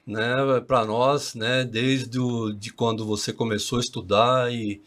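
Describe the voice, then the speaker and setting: A man's voice: medium pitch, little pitch movement, a little slow, a bit bright. A man hosting a podcast, introducing his guest in a casual, slangy way with frequent swearing and emphatic asides. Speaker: podcast host.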